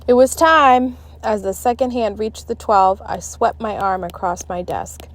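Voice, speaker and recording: A woman reads a children's story aloud at a slow, deliberate pace. Her narration is expressive and dramatic, with a middling pitch. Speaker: storybook reader.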